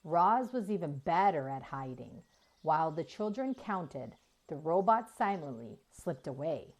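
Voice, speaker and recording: Female children's audiobook narrator, deliberate and slow-paced, with highly varied intonation. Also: expressive. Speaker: storyteller reading a children's book aloud. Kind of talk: expressive scripted children's narration.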